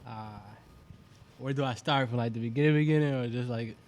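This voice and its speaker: A man in his twenties from Boston, a guest talking in a relaxed, rambling way with lots of fillers. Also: mid-range voice, a little fast, a little hiss or hum. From Boston, USA (born in Venezuela). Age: 20s. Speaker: guest, clothing brand founder. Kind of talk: casual interview chat.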